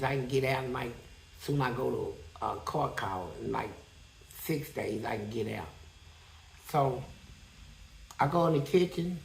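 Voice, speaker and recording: A man with a high-pitched voice telling a prison story in a blunt, profane, animated way as an interview guest. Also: creaky, echoey room, poor audio.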